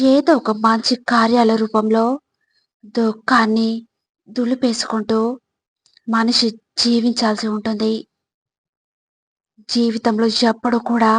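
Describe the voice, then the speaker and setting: Slow, even-pitched woman narrator. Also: husky, dry recording. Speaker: narrator of motivational spiritual quotes. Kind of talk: scripted motivational narration.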